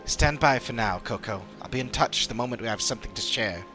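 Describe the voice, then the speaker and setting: A man voicing an earnest, formal fantasy adventurer in video game dialogue, with measured and serious delivery. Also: mid-range voice, over music, natural voice. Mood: serious, thoughtful.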